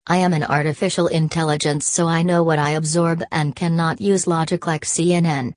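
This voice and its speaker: A woman voicing a snarky female AI robot sidekick who mocks the host in a dry, flat way. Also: low-pitched, fairly quick, dry recording, close to an everyday voice. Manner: dry, mocking, flat delivery.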